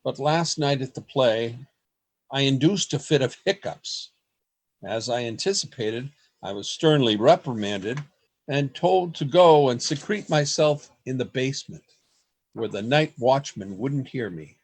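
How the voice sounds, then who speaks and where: A man's voice: medium pitch, animated, a little slow, slightly rough. A man acting an earnest, lovestruck and fearful poet in a radio play, with formal, theatrical phrasing, recorded over a video call. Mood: confiding, anxious. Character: earnest, lovestruck, fearful male poet keeping a secret journal. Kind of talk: radio play acting, journal narration and dialogue.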